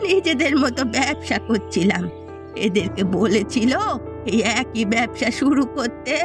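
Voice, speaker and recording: A woman acting a high-voiced scheming old mother. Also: expressive, measured pace, over music. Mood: scheming, confident. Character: scheming, vengeful elderly mother running a village eatery. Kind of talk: cartoon story voice acting.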